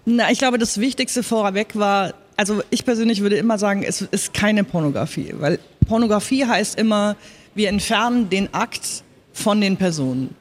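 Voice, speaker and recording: A woman's voice: medium pitch, normal speed. A woman speaking in a panel talk as a radio drama director, explaining her work in an earnest, emphatic way.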